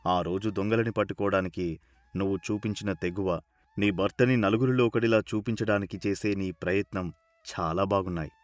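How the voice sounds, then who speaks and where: A man voicing a kindly, encouraging boss with a measured, approving manner in a story. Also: deep voice, no echo, pitched below the performer's own voice, natural voice.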